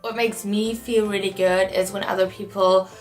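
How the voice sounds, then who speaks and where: A woman's voice: mid-range voice, little pitch movement, moderate pace, faint music behind. Earnest young German woman vlogging. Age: young adult. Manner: earnest, reflective, encouraging. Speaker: au pair vlogger. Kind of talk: casual talk to camera.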